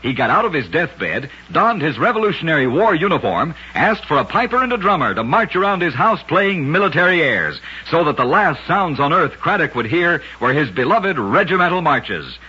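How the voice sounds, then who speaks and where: A man narrating short, strange tales as a radio host, reading a script with dramatic, deliberate delivery on an old recording. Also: fairly high pitch, big pitch swings, pushed and bright, breathy edge. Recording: dull vintage radio recording.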